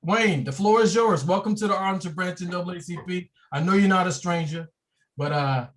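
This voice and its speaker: A man's voice: high-pitched, a little fast. A man who is a branch president, hosting a virtual meeting in a loose, joking way. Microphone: video call.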